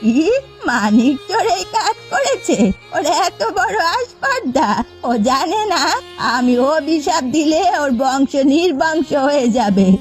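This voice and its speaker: A feisty old village granny from a cartoon folk tale. Her voice is very high and theatrical, quick to wail or scold, and music plays softly behind her. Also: big pitch swings. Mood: angry, threatening. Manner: dramatic, wailing and scolding. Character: feisty, doting old village woman who keeps hens.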